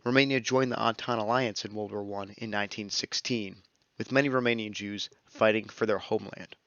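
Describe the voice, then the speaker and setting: Young man, low gravelly voice, bright tone, measured scripted narration, dry lo-fi voice-over. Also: dry recording. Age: young adult. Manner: steady, measured reading of a script. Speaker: student narrator. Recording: dull lo-fi dry recording.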